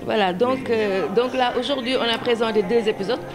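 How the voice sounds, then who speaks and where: A woman speaking in an interview, haltingly and often repeating words. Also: fairly high pitch. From Senegal. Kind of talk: spoken interview. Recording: music playing behind the voice.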